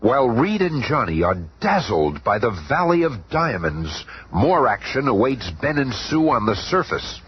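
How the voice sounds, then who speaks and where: A man's voice: mid-range voice, big pitch swings, normal speed, slightly rough. A man acting as a bombastic, suspenseful comic-book storyteller, narrating a superhero radio drama. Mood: dramatic. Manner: hyped, suspenseful, theatrical. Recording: muffled old radio recording, music behind.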